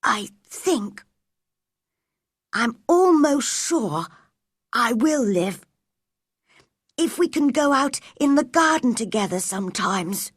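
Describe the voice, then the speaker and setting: Woman playing a plaintive, high-voiced sickly boy. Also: big pitch swings, takes it slowly, pauses often, slightly rough, no room sound, studio quality, heavily acted. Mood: tentative hope. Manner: plaintive, fragile. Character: fearful, sickly, self-pitying young boy.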